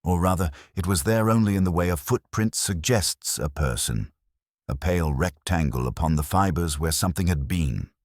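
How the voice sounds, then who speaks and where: Very deep-voiced male storyteller. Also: husky, no echo, studio quality. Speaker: narrator of a mystery story. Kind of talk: scripted storytelling narration, wry English period mystery.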